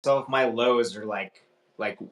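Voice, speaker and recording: A man with a low voice chatting casually, hesitant and groping for words. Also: steady tone, unhurried, roomy sound. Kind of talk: casual chat.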